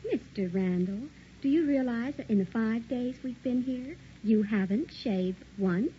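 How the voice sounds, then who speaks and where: Actress as a high-voiced devoted wife. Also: female voice, a little slow, lightly acted. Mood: cheerful, doting. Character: devoted, anxious young wife, doting and emotional. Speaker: radio drama actress. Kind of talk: early 1960s radio acting. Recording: muffled, hissy old radio recording.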